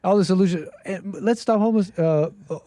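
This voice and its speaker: Man, call-in host, fairly high voice, dry close sound, lively and laughing. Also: a little fast, no room sound. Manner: animated, laughs often, reacts loudly. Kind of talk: casual live-stream talk.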